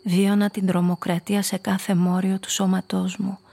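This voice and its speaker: A woman's voice: mid-range voice, level tone, vocal fry, mellow, faint music behind. An actress playing a frightened female blackmailer who tells her own story intimately in a scripted radio play. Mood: shaken, somber. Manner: intimate, confessional first-person storytelling.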